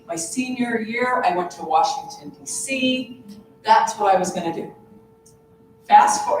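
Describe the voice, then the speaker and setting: A woman's voice: medium pitch, slight background noise. A woman in her fifties, a psychologist giving a conference presentation in a conversational, personal way and asking the audience questions. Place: large conference room. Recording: echoey room, voice from far off.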